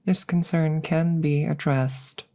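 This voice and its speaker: A woman voicing a curious, cautious alien scientist in a soft, hushed ASMR roleplay, speaking formally and deliberately and slipping into whispers. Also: deep voice, fairly even intonation, slight background noise. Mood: calm, matter-of-fact. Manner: measured, formal, deliberate phrasing.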